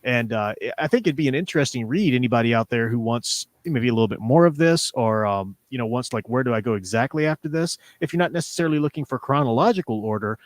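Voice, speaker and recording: A man's voice: medium pitch, lively intonation, fast talker, few pauses, dry recording. A man in his forties hosting a livestream, talking casually and enthusiastically as a fan, in a rambling way. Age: 40s. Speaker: livestream host. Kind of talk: casual fan discussion of comics and audio dramas.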